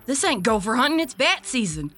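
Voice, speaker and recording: An actress playing a gruff, sardonic working woman, blunt and bossy, in an audio drama. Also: on the high side, big pitch swings, a little fast, bright, forceful tone, faint music behind, full character voice. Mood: scolding. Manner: blunt, dry, bossy, drawling slang. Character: gruff, sardonic Southern woman pest catcher, seasoned boss. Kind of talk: audio drama character acting.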